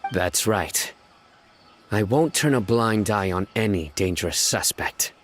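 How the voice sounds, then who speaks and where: An actor playing a stern investigator in a video game, measured, grave and commanding. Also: male voice, on the low side, expressive. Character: stern, deep-voiced male investigator and judge.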